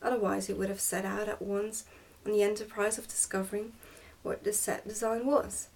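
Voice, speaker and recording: A woman reads a storybook in a hushed ASMR manner, slipping at times toward a whisper. Her delivery is careful and deliberate, and she sounds a little way back from the microphone. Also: mid-range voice, flat delivery, far from the mic, a little hiss or hum, rough recording. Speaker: ASMR host reading a book aloud. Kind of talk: ASMR storybook reading, soft and partly whispered.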